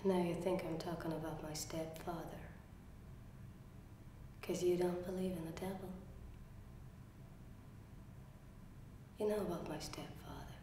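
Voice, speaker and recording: A woman's voice: lowish voice, steady tone, pitched below the performer's own voice, lightly acted. Woman acting a haunted, volatile young woman, very echoey. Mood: eerie, hushed. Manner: slow, halting, breathy whispering that breaks into shouting. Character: disturbed, haunted young woman confessing a killing, intense and volatile. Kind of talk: dramatic audition monologue. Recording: very echoey room, faint noise.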